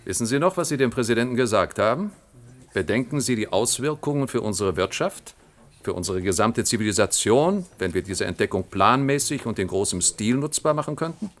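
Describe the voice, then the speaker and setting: An actor playing a smug, scheming middle-aged general in a German science-fiction radio drama, with an oratorical, condescending manner. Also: male voice, fairly low pitch, expressive, brisk, natural voice. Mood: smug, calculating.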